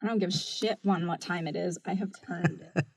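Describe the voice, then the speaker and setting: An actress playing a weary, wry co-worker in an audio drama, casual and blunt. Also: female voice, on the low side, steady tone, normal speed, gravelly, breathy edge, no room sound. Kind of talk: naturalistic audio drama dialogue.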